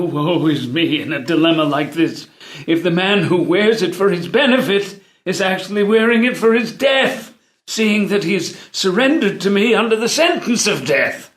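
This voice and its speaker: Man acting stern older jailer, stage drama, grandiose and slow, high-pitched, roomy sound. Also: fairly bright tone. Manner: declamatory, grandiose. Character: stern older jailer, commanding, torn by duty. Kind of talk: unrehearsed stage play read-through.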